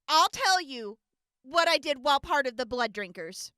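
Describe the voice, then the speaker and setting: An actress plays an earnest young woman medic from a raider clan, testifying in an audio drama. Her voice is high and very expressive, with a slow, deliberate delivery. The recording is close and dry. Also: no room sound, full character voice. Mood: earnest, confessing.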